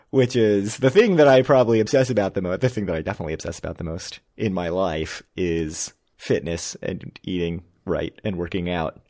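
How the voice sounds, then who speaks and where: American guy cohosting a podcast, lowish voice, rambles and laughs at himself. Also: lively intonation, moderate pace, darkish tone. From United States. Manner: rambling, self-deprecating, laughs often. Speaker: podcast co-host. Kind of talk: casual chat.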